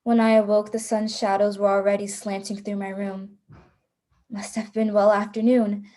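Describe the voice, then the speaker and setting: A woman's voice: mid-range voice, little pitch movement, moderate pace, dark tone, close to an everyday voice. A woman playing a frightened but resolute hunted heroine, narrating a radio play in a dramatic, suspenseful way. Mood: uneasy defiance.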